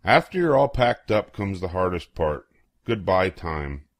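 An older man with a fairly low voice reads a picture book aloud to young children. His delivery is playful and expressive, with big swoops in pitch and a slow, unhurried pace. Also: no echo. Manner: playful, acts out exclamations and questions.

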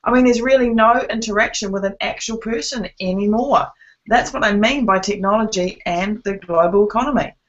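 A woman presents on a webinar, her voice a little croaky from a cold. She talks earnestly and persuasively, dotting her pitch with ums and uhs. The call audio is muffled and lo-fi. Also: fairly quick. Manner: earnest, persuasive, many fillers. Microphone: conference call line.

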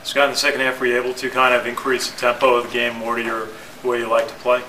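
A man fires off quick questions in a bright, pushing voice at a press conference. He sits a little away from the mic. Faint noise lingers in the room. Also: medium pitch, monotone. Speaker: reporter. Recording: slightly distant, faintly noisy room audio.

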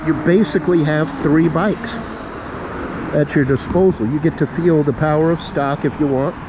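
A man's voice: on the high side, steady tone, moderate pace. A man narrating casually while riding an electric bike through city streets at night, rambling with fillers and self-corrections. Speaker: e-bike vlogger. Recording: loud road and wind noise.

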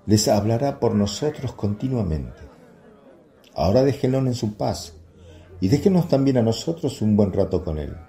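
A man's voice: fairly low pitch, big pitch swings, moderate pace, warm tone. An actor playing a grave, fatherly apostle who leads his group in a radio drama, speaking solemnly. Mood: gently firm. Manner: solemn, paternal. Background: music.

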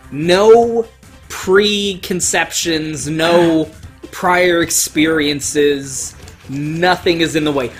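A gamer giving casual, emphatic let's play commentary, swearing freely and joking along the way. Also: medium pitch. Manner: profane, jokey, emphatic. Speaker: gaming video host playing and commentating. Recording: game music under the voice. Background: video game music.

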